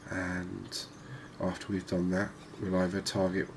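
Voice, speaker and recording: A man giving relaxed, unhurried ASMR-style commentary on a video game, musing conversationally as he goes. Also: sits low. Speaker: gaming video host. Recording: clearly noisy background ambience.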